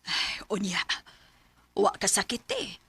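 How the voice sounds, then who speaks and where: A woman's voice: medium pitch, wildly swooping pitch, slow, bright, forceful tone, husky, dry recording, exaggerated voice. A middle-aged woman playing a caring mother in a radio drama, who gives her daughter advice in a motherly way. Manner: motherly, advising. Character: caring mother of a teenage girl.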